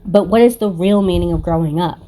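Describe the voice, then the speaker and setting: A woman's voice: on the low side, brisk, warm tone, rough recording. A woman giving a casual spiritual card reading in a blunt, confrontational manner, swearing freely. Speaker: tarot card reader and spiritual content creator.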